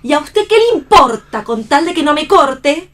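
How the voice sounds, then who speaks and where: Radio drama lead: weary, defiant actress, higher-pitched voice, declamatory and despairing. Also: female voice, very animated, normal speed, fairly bright tone. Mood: irritated. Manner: theatrical, declamatory. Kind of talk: read radio theatre.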